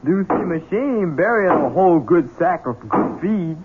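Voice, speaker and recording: High-voiced folksy Southern servant. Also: male voice, animated, unhurried. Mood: folksy, obliging. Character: folksy, obedient rural Southern servant man. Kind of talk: radio drama acting. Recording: muffled noisy old radio broadcast.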